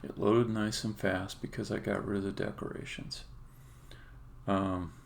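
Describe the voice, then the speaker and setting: A man with a low, heavily fried voice talks to himself while coding on a live stream. His delivery is casual and halting, muttered as he thinks aloud. Keyboard typing and clicks sit behind him with faint noise. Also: flat delivery, gravelly. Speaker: game developer streaming. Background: computer keyboard typing and clicking.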